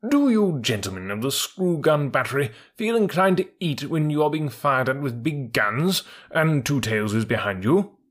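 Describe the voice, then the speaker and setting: A man's voice: medium pitch, big pitch swings, moderate pace, hardly any pauses, voice pushed up. Man voicing a proud, haughty cavalry horse. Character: big proud Australian troop horse, male, haughty.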